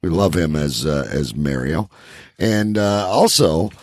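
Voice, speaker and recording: A man hosting a casual comedy podcast with a gravelly voice, chatting and plugging shows, stretching words like an announcer for emphasis. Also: rumbling low, animated, measured pace, dry recording, studio quality. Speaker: podcast host.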